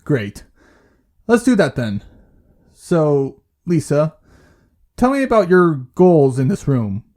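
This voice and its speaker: A man playing a calm, patient therapist in an audio drama, asking questions in a measured, gentle way. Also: fairly high pitch. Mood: gentle, professional. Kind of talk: naturalistic audio drama dialogue.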